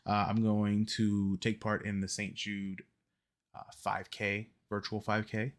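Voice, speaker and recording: A man in his early thirties talking casually to camera as a vlogger, rambling with many ums and quick self-corrections. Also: on the low side, completely flat delivery. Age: 30s. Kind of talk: casual fitness vlog talk.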